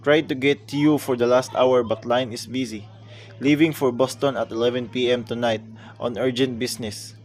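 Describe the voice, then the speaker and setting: Man playing a measured, apologetic husband. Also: mid-range voice, fairly even intonation, lightly acted. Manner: measured, recited in clipped phrases. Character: apologetic husband's voice reading his telegram message. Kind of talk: suspense radio drama acting.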